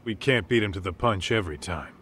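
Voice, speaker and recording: An American man playing a gruff, blunt, streetwise detective's sidekick in a game cutscene, talking informally. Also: deep voice, expressive, brisk, a bit bright, a little hiss or hum. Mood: matter-of-fact. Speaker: video game voice actor. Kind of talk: English dub game cutscene dialogue.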